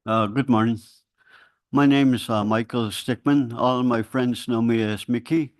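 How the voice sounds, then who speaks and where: A man in his sixties from the Middle Yukon in Alaska, giving earnest public testimony with halting restarts that turns urgent and pleading. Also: medium pitch, fairly even intonation. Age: 60s.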